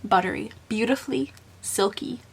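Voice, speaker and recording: A woman's voice: mid-range voice, moderate pace, husky, low-quality recording. A young woman in her twenties from Colombia with a light Southern US accent, chatting through a makeup tutorial with lots of "like" fillers. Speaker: beauty vlogger. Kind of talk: casual makeup tutorial chat. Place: bedroom at home.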